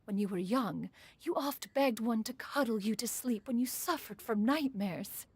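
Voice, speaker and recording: A woman's voice: on the high side, moderate pace, a little mellow, breathy edge, no room sound. A woman voicing a proud, dignified ancient immortal in a fantasy video game, with a formal, haughty, motherly manner and archaic wording. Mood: formal, fond.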